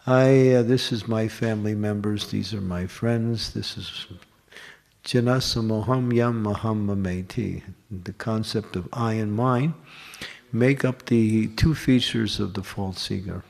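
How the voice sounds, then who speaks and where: A man's voice: fairly low pitch, measured pace, creaky, darkish tone. A man giving a scripture class at a temple, explaining step by step and posing and answering his own questions. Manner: lists examples in short runs.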